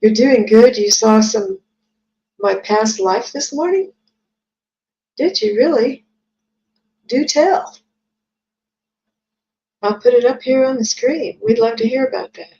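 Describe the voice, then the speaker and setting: A woman's voice: on the high side, expressive, slow pace, long pauses, mellow. A woman, chatting casually as she hosts a live psychic reading stream and reads out viewer comments. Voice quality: scratchy, gravelly voice. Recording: dull lo-fi stream audio.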